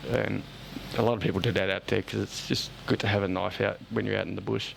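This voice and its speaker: A man's voice: lowish voice, fairly even intonation, fast, gravelly, background noise. A man with an Australian accent chatting casually as a podcast host, blunt and joking as he rambles along. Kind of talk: casual chat.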